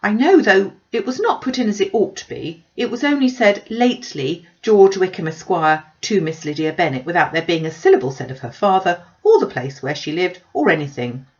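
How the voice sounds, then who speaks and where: A woman's voice: on the low side, lively intonation, fairly quick, few pauses, dull audio, lightly acted. A woman voicing a gushing, fussy middle-aged mother who chatters pushily and complains with emphasis in an audiobook. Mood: gushing, fishing. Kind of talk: audiobook character voice.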